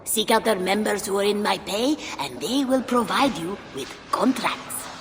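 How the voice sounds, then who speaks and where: Amid a long reverb and clear background noise, a woman plays a scheming female imp who trades wares in a game. Her delivery is theatrical and insinuating, swooping widely as she teases. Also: medium pitch, lively intonation, normal speed, natural voice. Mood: businesslike, sly. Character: sly, teasing, scheming female imp merchant. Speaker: merchant character. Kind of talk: game cutscene acting.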